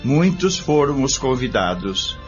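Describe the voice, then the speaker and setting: A man's voice: medium pitch, big pitch swings, a little slow. A man narrating a scripted radio drama. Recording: muffled radio recording, faint music.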